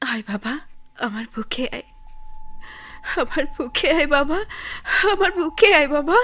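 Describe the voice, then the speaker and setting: Slow, high-voiced yearning motherly woman. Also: expressive, a bit bright. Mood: pleading, tender. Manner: emotional, pleading, coaxing. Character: tender, yearning woman longing to be a child's mother. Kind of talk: Bengali radio drama acting.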